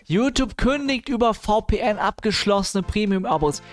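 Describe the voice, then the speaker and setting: A man with a fairly high voice talks casually as a live streamer. He drops English gamer slang into his speech and adds grumbling asides. Music plays behind him. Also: animated, normal speed. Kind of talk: casual livestream chat, reacting to news and viewers.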